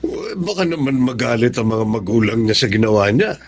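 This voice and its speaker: A man playing a wary middle-aged father who gently questions his child in a Filipino radio drama. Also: mid-range voice, expressive, a little fast, lightly acted. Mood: wary, curious.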